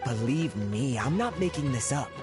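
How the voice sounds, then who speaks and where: A man's voice: medium pitch, animated, normal speed, music in the background, natural voice. A man voicing an earnest, aggrieved town gossip in a game, insistent and grumbling. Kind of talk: game voice acting.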